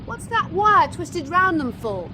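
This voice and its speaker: A woman's voice: extremely high pitch, sing-song, takes it slowly, full character voice. An actress playing a curious, wry woman survivor aboard a U-boat in a wartime radio drama, inquisitive and conversational. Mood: curious, teasing. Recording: loud engine-like background noise.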